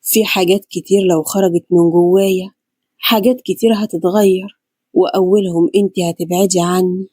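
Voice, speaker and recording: A weary Egyptian man, ill and burdened by guilt, played by a female narrator. The voice is lowered, husky and mellow, tender and heavy-hearted, as in an audio romance. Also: steady tone, a little fast, no room sound. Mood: tormented. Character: sick, guilt-ridden man, a doctor, tender and tormented.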